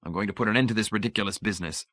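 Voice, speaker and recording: A curt, tense man with a low voice, clipped and impatient, as read by a male audiobook narrator. Close, dry storybook reading. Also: moderate pace, fairly bright tone, dry recording, lightly acted. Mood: terse. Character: curt, tense middle-aged man set on a plan.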